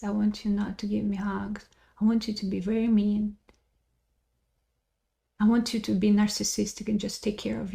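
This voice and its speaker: A pleading, unborn soul played by a woman in a guided visualization. It implores earnestly and insistently in a medium-pitched, creaky voice, begging without much rise or fall. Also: dark tone, close to an everyday voice. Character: pleading grown child's soul begging parents for hardship.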